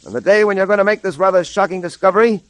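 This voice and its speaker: A man plays an authoritative heroic detective in an old radio serial. His voice is fairly high, firm and formal, in command of every line. The vintage recording is very dry. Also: very fast, no echo, natural voice. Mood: stern warning. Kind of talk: 1930s radio acting.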